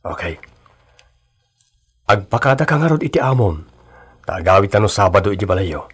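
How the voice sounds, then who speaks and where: Young ilocano suitor, low husky voice, earnest and polite, radio drama. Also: male voice, sing-song, moderate pace, a bit bright, heavily acted. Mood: earnest, reassuring. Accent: Filipino, Ilocano. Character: earnest 23-year-old suitor, polite and determined.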